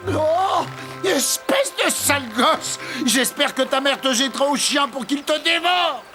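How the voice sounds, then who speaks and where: High-pitched, vain little cartoon beetle. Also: expressive, a little slow. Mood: bitter. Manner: boastful, theatrical, exclamatory. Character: vain, dramatic little scarab beetle, self-proclaimed hero. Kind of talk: animated cartoon dubbing. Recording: music behind the voice.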